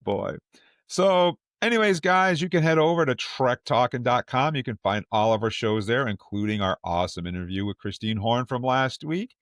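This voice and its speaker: A man's voice: mid-range voice, moderate pace, fairly bright tone, no room sound. A man with a mid-range voice hosts a casual fan podcast. He banters and jokes easily, and the recording is close and dry with no room sound. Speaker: podcast host.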